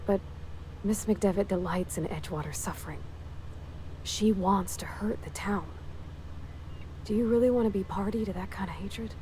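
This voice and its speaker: A woman's voice: medium pitch, normal speed, pauses often, dark tone, slight background noise, close to an everyday voice, low-quality recording. A woman acting an earnest, hesitant small-town young woman. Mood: earnest, troubled. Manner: hesitant, earnest, apologetic, folksy. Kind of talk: naturalistic video game dialogue acting.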